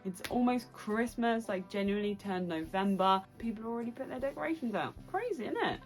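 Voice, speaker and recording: A young British woman chatting casually and excitably to her viewers as a book vlogger. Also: a little high, a little fast, soft background music. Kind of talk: casual chatty vlog to camera.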